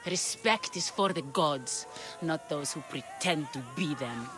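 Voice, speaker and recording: A woman's voice: deep voice, animated, measured pace. An actress playing a cold, disdainful woman priestess. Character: stern woman priestess of a temple. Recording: game cutscene with loud music.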